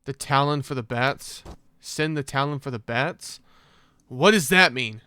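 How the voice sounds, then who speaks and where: A man's voice: mid-range voice, unhurried, sharp tone, clear, resonant tone, dry recording. A man giving casual, slangy reaction commentary, thinking aloud, exclaiming and reading text off a screen. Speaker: reaction video host. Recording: music playing behind the voice.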